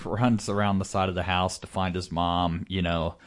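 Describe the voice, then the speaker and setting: A man's voice: low voice, flat delivery, moderate pace. A man co-hosting a horror film podcast, chatting informally in a meandering way with lots of "you know". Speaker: podcast co-host reviewing horror films. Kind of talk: casual chat, film commentary.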